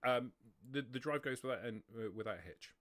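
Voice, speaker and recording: A man narrating a tabletop roleplaying game as game master, improvising in a halting way. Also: medium pitch, lively intonation, fairly bright tone, dry recording.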